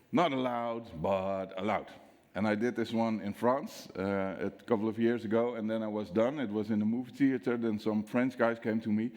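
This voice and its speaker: A man from the Netherlands with a Dutch accent, giving a conference talk on security, acting out dialogue and quizzing the audience. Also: fairly low pitch. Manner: lively storyteller, acts out dialogue, asks audience questions. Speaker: security speaker. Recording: long hall reverb.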